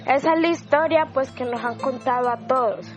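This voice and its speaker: A woman's voice: high voice, a little fast. A woman gives a plain, matter-of-fact retelling of her neighborhood's history on community radio. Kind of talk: telling local history. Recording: dull lo-fi recording with music under.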